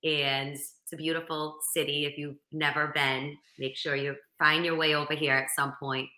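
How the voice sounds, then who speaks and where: A woman with a thick Boston accent talks earnestly as an interview guest. Her voice sits low for a woman yet sounds bright and forceful. The room around her is roomy and echoey. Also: level tone, moderate pace. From Boston area, Massachusetts. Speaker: guest, motivational speaker. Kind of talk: casual interview chat.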